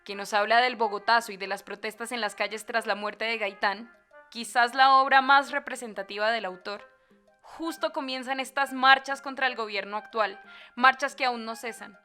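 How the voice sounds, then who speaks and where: A woman with a Colombian accent narrates a scripted podcast as its host. Her voice is fairly high and notably bright and forward, with faint music behind. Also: fairly even intonation, normal speed, smooth.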